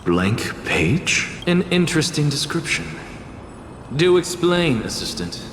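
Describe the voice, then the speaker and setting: A man voicing a self-assured, theatrical scholarly mentor in a video game, speaking deliberately and probingly. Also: fairly high pitch, big pitch swings, fairly bright tone, a little hiss or hum, full character voice. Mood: intrigued. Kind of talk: dramatic video game dialogue. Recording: echoey reverb with music behind.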